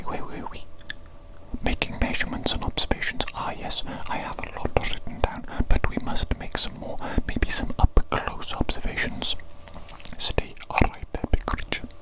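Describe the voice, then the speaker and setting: A woman's voice: a little slow, lightly acted, poor audio. A woman whispering as a gleeful evil doctor. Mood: musing. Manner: whispered throughout, repeats phrases softly. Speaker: ASMR roleplay host. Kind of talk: whispered ASMR roleplay.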